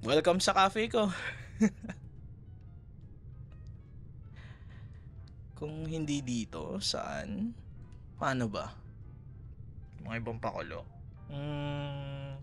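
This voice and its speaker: A man's voice: a little high, animated, slow pace, long pauses, a bit bright, faint music behind, exaggerated voice. A young man with a Filipino accent playing a playful, teasing ex-boyfriend in a casual, conversational audio roleplay, slipping between two languages. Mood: casual, modest. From Philippines. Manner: teasing, chuckles often, Taglish code-switching. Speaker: roleplay voice performer.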